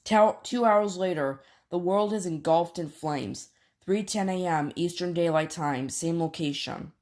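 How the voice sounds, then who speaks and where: A woman reading a scripted emergency broadcast as an announcer, in formal, solemn, measured phrases. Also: lowish voice, darkish tone. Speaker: emergency alert announcer and scenario narrator.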